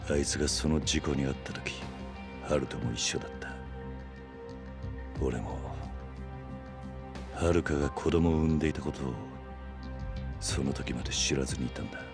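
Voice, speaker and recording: A grave, protective yakuza man for a video game cutscene. His voice sits extremely low. He speaks in short, measured, serious phrases over clearly audible music. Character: stoic, protective middle-aged yakuza man, gruff and grave. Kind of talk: dramatic Japanese video game acting.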